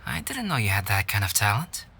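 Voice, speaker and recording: A man playing a calm, reserved young intellectual in a video game, speaking in a measured, articulate and formal way. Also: on the low side, very noisy. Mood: composed, reflective. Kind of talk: video game cutscene acting. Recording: background music under the voice. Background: game music.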